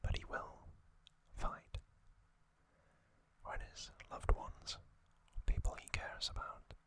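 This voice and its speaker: A man narrating in a breathy ASMR whisper, delivered in short, deliberate phrases as a storytelling host.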